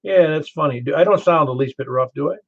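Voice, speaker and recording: A man's voice: on the high side, quick pace, vocal fry. Older Southern man chatting on ham radio. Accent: Southern American. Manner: chatty, storytelling, laughs easily. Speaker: ham radio net control. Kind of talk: casual radio chat. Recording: muffled ham radio audio.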